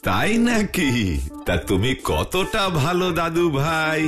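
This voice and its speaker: A man playing a gentle old grandpa storyteller. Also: fairly high pitch, sing-song, takes it slowly, exaggerated voice. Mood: affectionate. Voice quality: gentle, patient, affectionate storytelling. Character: kind old male grandfather storyteller, former sailor. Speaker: grandfather figure telling stories. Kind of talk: Bengali cartoon dubbing. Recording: music underneath.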